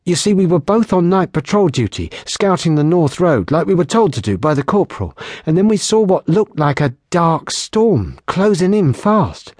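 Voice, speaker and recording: A man's voice: fairly high pitch, sing-song, normal speed, hardly any pauses, darkish tone, dry recording, studio quality, pitched above the performer's own voice. A man reading an audiobook, voicing a frightened young male patrol soldier who stammers with fear. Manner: stammering, fearful.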